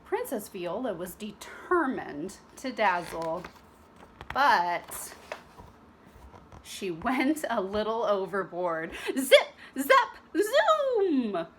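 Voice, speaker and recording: A woman's voice: mid-range voice, animated, slow pace, a bit bright, slight background noise. A woman narrating a children's storybook expressively, with teacherly asides to young listeners. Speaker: storybook reader.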